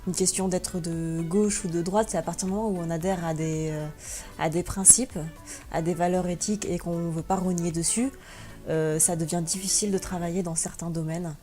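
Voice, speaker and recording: A young woman from France talking as an interview guest, her voice low and mellow. She searches for words, filling pauses and restarting sentences as she goes. Faint music sits behind her. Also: steady tone. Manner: hesitant, many fillers and restarts. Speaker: guest blogger and teacher. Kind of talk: interview answers.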